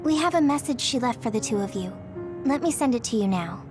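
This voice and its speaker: A woman acting as a tender-hearted young squad mate in a video game. Her voice is high and earnest, open with feeling. Music plays beneath the dialogue. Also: expressive, brisk, lightly acted. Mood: gentle, sad.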